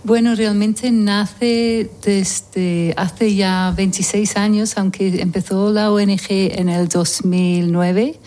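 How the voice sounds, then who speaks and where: A woman raised in Scotland, founder of a charity, answering questions in a calm, reflective way. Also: medium pitch, slow pace, few pauses. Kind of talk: interview answers.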